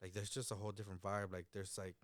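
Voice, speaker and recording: A man's voice: sits low, little pitch movement, quick pace, dry recording. Blunt laid-back man, thirties, dry sound. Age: 30s. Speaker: podcast co-host. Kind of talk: casual chat.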